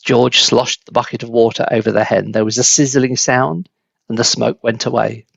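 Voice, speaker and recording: A man narrating a children's book as an expressive storyteller, in a slightly dull, close recording. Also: mid-range voice, normal speed, gravelly.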